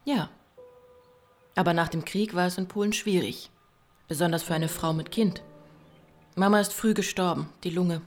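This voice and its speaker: An actress playing a searching, direct woman in a radio drama, speaking in short, deliberate phrases. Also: lowish voice, lightly acted. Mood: reserved. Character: searching, direct Polish woman, daughter of a composer. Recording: music behind the voice.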